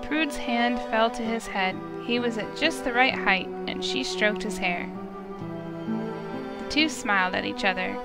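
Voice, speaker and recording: A woman's voice: fairly high pitch, unhurried. A woman streamer reading a fantasy visual novel aloud in a storytelling narration style. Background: music.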